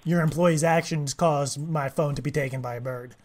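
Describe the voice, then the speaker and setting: A man making a prank call, speaking in a deadpan, mock-earnest way with halting, rambling delivery. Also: fairly high pitch, crowd noise. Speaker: prank caller, host of a prank call show.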